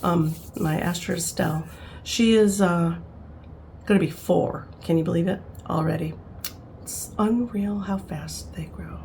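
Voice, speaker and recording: A woman's voice: fairly low pitch, a little slow, dark tone, slight background noise. A woman in her fifties, rambling and chatty, talking casually to camera at home, with frequent laughs and fillers. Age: 50s. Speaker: vlogger.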